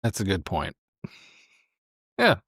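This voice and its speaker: A man's voice: low-pitched, wildly swooping pitch, slow pace, a bit bright, breathy edge, dry recording, studio quality. A man playing a tabletop roleplaying game, thinking aloud and describing actions in casual chat.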